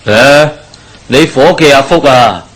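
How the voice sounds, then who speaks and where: A nosy, teasing village boss in a Cantonese radio play from Hong Kong. His voice is husky and mid-pitched, with quick exclamations of interest. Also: fairly bright tone. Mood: curious, teasing. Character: talkative, inquisitive village shop boss. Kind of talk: radio drama acting.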